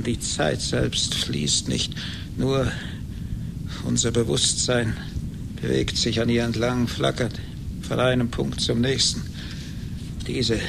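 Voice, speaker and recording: An embittered, gloating male genius, obsessed with revenge. He speaks in a middle register with theatrical hesitations and repeated words. The old radio recording carries noticeable noise and a low rumble. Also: vocal fry. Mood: lecturing. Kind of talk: radio play acting.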